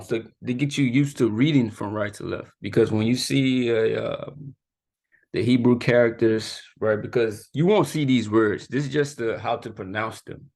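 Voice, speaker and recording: Gravelly-voiced man teaching casually. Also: fairly low pitch. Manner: informal, keeps adding "right?" and "you know"; laughs off his own mistakes. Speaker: religious youth teacher. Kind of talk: casual spoken lesson.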